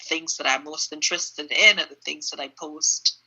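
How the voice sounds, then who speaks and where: A middle-aged woman, answering interview questions thoughtfully over a video call on a handheld phone, often pausing with ums. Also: low voice, steady tone, pushed and bright, slightly rough, poor audio. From Trinidad. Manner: thoughtful, searching for words with frequent ums. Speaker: interview guest, runner. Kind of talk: casual reflective interview answers. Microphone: phone held in hand on a video call.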